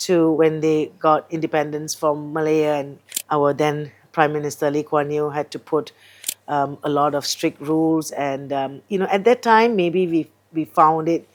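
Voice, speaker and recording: A middle-aged woman from Singapore, a chef chatting as a guest in an interview, animated and full of fillers. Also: low-pitched, a little slow. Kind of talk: casual interview chat.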